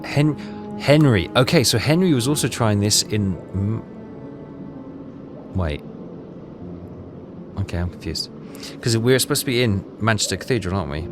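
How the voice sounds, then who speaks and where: A man's voice: fairly low pitch, lively intonation, normal speed, pauses often. A man doing casual let's play commentary, muttering and questioning himself as he thinks aloud. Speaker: video game player and commentator. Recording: game music behind the voice. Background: game music.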